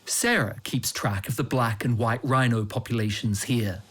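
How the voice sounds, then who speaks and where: A man, a foreign correspondent giving measured, scripted documentary narration. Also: mid-range voice, fairly bright tone, slightly rough. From Australia. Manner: measured, earnest storytelling. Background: music.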